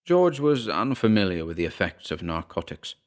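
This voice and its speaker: A man narrating a scripted horror story in a measured, dramatic storytelling manner. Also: low-pitched, sing-song.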